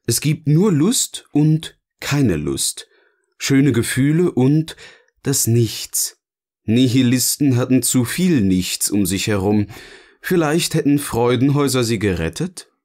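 Ein Mann spielt in einem Hörspiel einen eloquenten, sardonischen Erzähler, der bedächtig und theatralisch philosophiert. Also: male voice, medium pitch, expressive, slow, a little mellow. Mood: wry, musing. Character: eloquent, sardonic male narrator finally free to speak. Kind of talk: scripted audio drama dialogue, philosophical musing.

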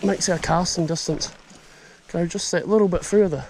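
A young man with a New Zealand accent giving excited, slangy running commentary while fishing outdoors at a pond, recorded on an action camera. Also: high voice, normal speed, a little hiss or hum, poor audio. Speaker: fishing vlogger. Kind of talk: casual outdoor vlog commentary. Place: outdoors by a high country pond. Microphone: action camera mounted on the body.

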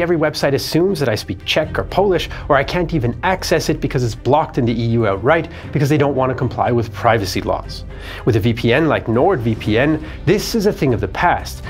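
A man in his thirties, host of a history channel, delivering scripted documentary narration in a studio. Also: mid-range voice. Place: dark studio set.

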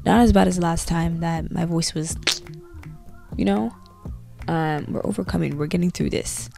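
A young woman with a cold chatting casually to camera about her faith, talking lively with her hands into a handheld mic in a small bedroom at night. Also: lowish voice, moderate pace, gravelly, faint music behind. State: has a cold.

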